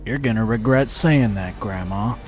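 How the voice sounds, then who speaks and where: A man's voice: on the low side, normal speed, over loud music, lightly acted. A man playing a taunting, sneering cadet bully in an audio drama. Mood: sneering, menacing.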